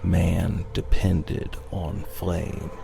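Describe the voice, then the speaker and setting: A man's voice: low-pitched, little pitch movement, very slow, gravelly, over music, voice pushed down, full character voice. A man playing a solemn, ominous narrator in a horror radio drama, delivering scripted lines. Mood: ominous, hushed.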